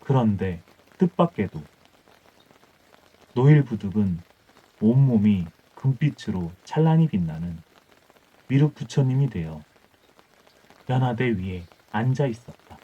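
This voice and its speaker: Dark, husky-voiced male bedtime storyteller. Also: lowish voice, animated, unhurried, pauses often. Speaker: audiobook storyteller. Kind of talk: scripted bedtime folktale narration. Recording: dull muffled audio with music.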